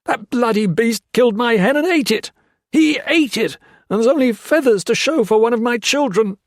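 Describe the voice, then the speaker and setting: A man's voice: a little high, animated, takes it slowly, creaky, slightly rough, no room sound, clean studio sound, pitched above the performer's own voice, heavily acted. A man voicing a deranged old male army colonel who screams and hisses abuse. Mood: raving. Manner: shrieking, screaming, hissing.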